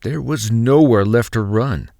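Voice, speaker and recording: An actor playing a desperate husband, narrating a survival horror audio drama with intense, halting suspense. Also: male voice, medium pitch, vocal fry, noisy background. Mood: grim suspense. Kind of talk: dramatic first-person horror narration and dialogue. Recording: music under the voice. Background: music.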